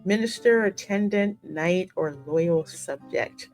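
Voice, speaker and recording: A woman reading a story game aloud as a streamer, with expressive delivery and chatty asides. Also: lowish voice, slow, poor audio. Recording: music running behind the voice.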